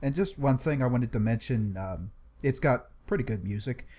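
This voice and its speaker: Man with lively intonation chatting. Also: mid-range voice, normal speed. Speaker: podcast panelist. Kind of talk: casual book club discussion.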